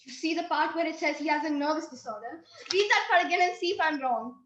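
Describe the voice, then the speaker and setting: Very high-voiced, relentless wailing wife. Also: female voice, fast talker, a bit bright, muffled recording, a little hiss or hum. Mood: insistent, indignant. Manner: insistent, melodramatic, plaintive. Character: pushy, wailing, self-pitying wife petitioning officials, relentlessly stubborn. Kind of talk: comic stage-play acting. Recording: roomy, band-limited recording.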